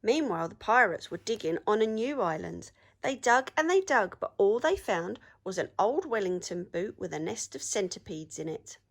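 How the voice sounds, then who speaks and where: A woman teacher reading a story aloud to children in an expressive storytelling style. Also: medium pitch, normal speed, hardly any pauses.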